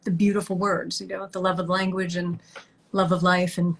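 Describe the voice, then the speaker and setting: A woman stage actress answering interview questions over a video call, warm and thoughtful, quick to laugh. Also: on the low side, little pitch movement, vocal fry.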